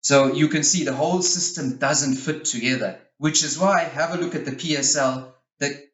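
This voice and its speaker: A man's voice: a little high, moderate pace, fairly bright tone. Man in an echoey, muffled recording. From Switzerland. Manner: frank, opinionated. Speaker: interview guest, youth football coach. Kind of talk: conversational interview answers, explaining.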